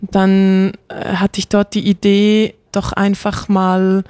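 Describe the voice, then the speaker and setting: A woman's voice: mid-range voice, monotone, slow, darkish tone, clear, resonant tone, dry recording. A young woman, a classical singer raised bilingual in Bosnian, chatting animatedly in an interview with many fillers and light laughs. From Switzerland. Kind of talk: casual interview chat.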